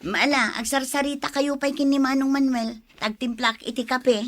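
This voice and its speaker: Casting: curious, attentive wife, lightly high voice, domestic radio drama. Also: female voice, fairly even intonation, moderate pace. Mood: welcoming. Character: attentive wife serving coffee, curious about family affairs. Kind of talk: radio drama acting in Ilocano.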